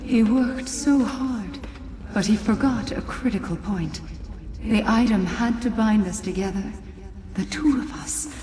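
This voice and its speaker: A woman playing a sorrowful, regretful female ghost in a video game, speaking in a mournful, measured way. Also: on the high side, mellow, close to an everyday voice. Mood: wistful regret. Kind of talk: scripted game dialogue. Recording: echoey hall-like reverb, faint noise.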